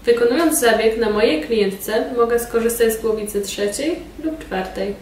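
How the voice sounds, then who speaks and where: A woman's voice: a little high, moderate pace. A woman instructor narrating a hands-on treatment demonstration step by step. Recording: distant, echoey room with loud steady noise.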